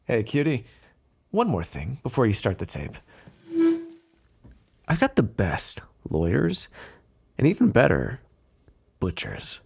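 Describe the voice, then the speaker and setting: A man playing a flirtatious, menacing mob boss in an ASMR roleplay, smooth-talking in a teasing, insinuating way with drawn-out phrasing. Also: mid-range voice, huge pitch swings, a little mellow, soft background music, exaggerated voice. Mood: playful menace. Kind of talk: ASMR roleplay voice acting, one-sided dialogue.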